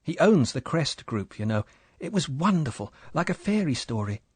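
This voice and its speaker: A man voicing a breathy, eager young English wife. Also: on the high side, very animated, moderate pace, dry recording, voice pushed up. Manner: soft, breathless, apologetic and chatty.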